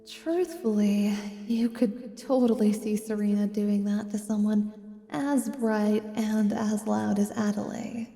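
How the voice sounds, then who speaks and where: A woman narrating a scripted fantasy story softly in the second person. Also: mid-range voice, slow, reverberant, long reverb tail, distant mic, faint music behind.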